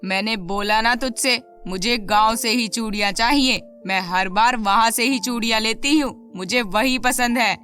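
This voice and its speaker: A woman voicing a harsh, domineering, scolding mother in an animated children's moral story, stern and threatening. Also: on the high side, normal speed, few pauses, soft background music, natural voice. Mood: bossy.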